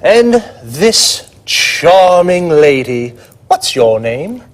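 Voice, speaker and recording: A genial TV host, acted by a man, with a slightly high, very animated voice. He takes scripted educational presenting at a slow, measured pace. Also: heavily acted. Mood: genial, encouraging. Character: genial male TV presenter welcoming guests.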